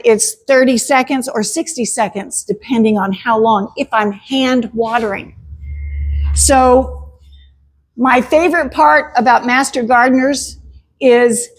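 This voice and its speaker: A woman giving a chatty gardening talk to an audience, laughing often and adding emphatic asides. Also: a little high. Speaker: master gardener presenter.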